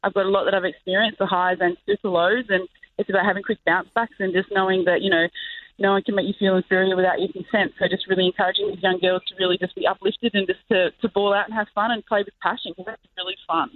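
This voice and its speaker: A woman's voice: mid-range voice, steady tone, fast. A young Australian woman, a professional basketball player, chatting as a guest in an upbeat, talkative way with lots of "like" and "you know". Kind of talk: casual interview chat. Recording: tinny phone line.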